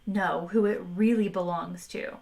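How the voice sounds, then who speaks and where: A woman's voice: fairly low pitch, fairly even intonation, unhurried, vocal fry, a little mellow. A bewildered, polite ordinary man frets his way through each line, baffled and a bit defensive. A woman telling the story voices him. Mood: puzzled. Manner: puzzled, fretful.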